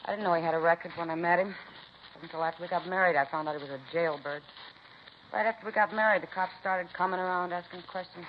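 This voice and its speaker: An actress playing a bitter, blunt working-class wife who complains in slangy speech, in 1950s radio drama style. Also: female voice, fairly low pitch, fast, close to an everyday voice. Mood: bitter, fed up. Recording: muffled, noisy 1950s radio recording.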